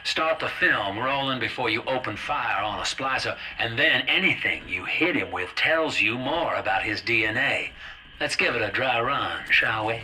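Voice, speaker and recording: An actor playing a folksy, wisecracking hustler in a retro game, with a chummy drawl. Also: male voice, medium pitch, animated, moderate pace, pushed and bright. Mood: jovial, instructive. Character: folksy, slick fast-talking male hustler guiding the player. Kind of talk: stylized retro game voice acting, colorful period slang. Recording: noisy radio-style transmission.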